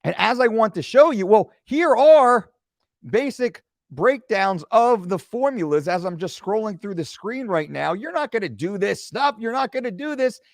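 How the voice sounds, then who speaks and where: A man's voice: sits high, expressive, normal speed, no echo. A man in his forties talking through a screen-share fitness lesson, with joking asides. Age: 40s. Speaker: fitness coach.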